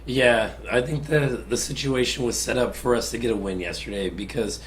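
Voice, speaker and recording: A man co-hosting a casual soccer talk show, his voice raspy from a cold, relaxed and analytical with hesitant restarts. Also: mid-range voice, moderate pace, slight background noise. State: getting over a cold. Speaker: podcast co-host. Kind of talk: casual sports chat.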